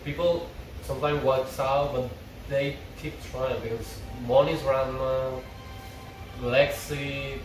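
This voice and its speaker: A man's voice: medium pitch, little pitch movement, slow, faint music behind. A young man chatting casually and enthusiastically as a fan, rambling from one thought to the next. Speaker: fan discussing a TV series. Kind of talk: casual chat. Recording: roomy, distant mic with loud background noise.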